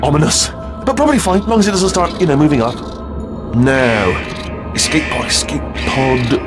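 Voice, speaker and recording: An actor playing a nervous, bumbling little robot guide in a video game, rambling, hesitant and forever correcting himself. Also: male voice, on the high side, normal speed, music in the background, lightly acted. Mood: bossy, jittery. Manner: babbling, hesitant, self-correcting, excitable. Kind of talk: comic video game dialogue.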